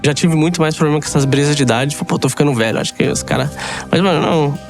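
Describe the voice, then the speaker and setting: Fast-talking Brazilian man in his twenties. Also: fairly high pitch, vocal fry, music in the background. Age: 20s. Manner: slangy. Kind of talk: casual banter.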